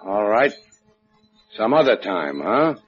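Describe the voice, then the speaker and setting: An American actor playing a tough, wry adventurer in a 1950s radio drama, speaking in a laconic, sardonic way. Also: male voice, on the low side, sing-song, slow. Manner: laconic, hard-boiled, sardonic. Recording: dull old radio recording with music.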